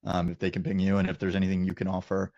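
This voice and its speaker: A man's voice: sits low, completely flat delivery, racing, gravelly, mellow, dry recording, muffled recording. A man hosting a casual business interview, talking in an encouraging, affirming way. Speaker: interview host, company founder.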